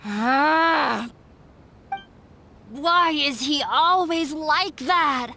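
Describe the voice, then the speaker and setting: A woman's voice: sits high, extremely slow, sharp tone, slight background noise, full character voice. A woman voicing a nervous but hot-tempered young woman in a video game, flustered and emotional. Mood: frustrated. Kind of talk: video game character acting. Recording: music playing under the voice. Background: music.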